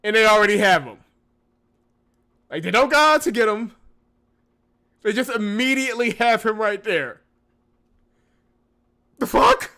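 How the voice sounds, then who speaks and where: A man's voice: high-pitched, expressive, a little slow, long pauses, bright, forceful tone. A man hosting a let's play, reading visual novel text aloud and breaking off into incredulous, sarcastic commentary. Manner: exasperated, incredulous, sarcastic asides. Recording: music behind the voice. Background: background music.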